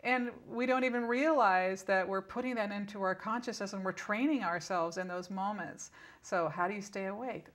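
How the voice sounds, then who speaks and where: A woman's voice: medium pitch, moderate pace, a bit bright. Female interview guest, spiritual teacher type, mid-range voice. Instructive, emphatic, conversational explainer.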